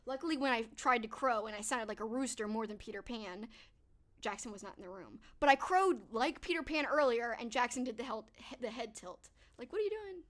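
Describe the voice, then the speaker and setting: A woman's voice: on the high side, a bit bright. A young woman hosting a livestream, chatting upbeat to her viewers and thanking them one by one, her voice a little stuffed up. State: a bit stuffed up. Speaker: livestream host. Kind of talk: casual livestream wrap-up talking to chat.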